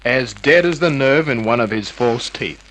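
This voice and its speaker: An actor playing a cocky, wisecracking hard-boiled private investigator in an old-time radio drama, flippant, slangy and smug. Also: male voice, mid-range voice, expressive, moderate pace, a bit bright, no room sound, lightly acted, low-quality recording. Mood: mocking, smug.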